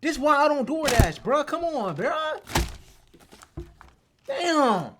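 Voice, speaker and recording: Casual reactor with a swingy highish voice, slangy and sweary, exasperated, faint noise behind. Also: big pitch swings, slow. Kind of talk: casual off-the-cuff reaction chat.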